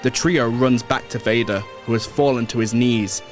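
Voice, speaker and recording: A man narrates an audio drama from a script, describing the action as it unfolds. His pitch is average for a man, and prominent music plays behind him. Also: fairly even intonation, normal speed.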